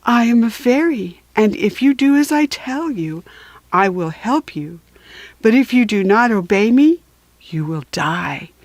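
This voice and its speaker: Woman reading as a slow, solemn young fairy. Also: fairly high pitch, lively intonation, darkish tone, dry recording. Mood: stern promise. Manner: solemn, instructing, measured. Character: beautiful young female fairy, stern and commanding.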